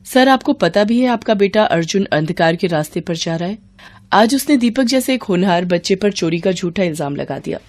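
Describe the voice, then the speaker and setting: A woman's voice: mid-range voice, expressive, normal speed, a little mellow, close to an everyday voice. A woman voicing a principled, firm schoolteacher in a cartoon moral story, with an admonishing manner. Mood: accusing, firm. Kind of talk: animated moral story dialogue.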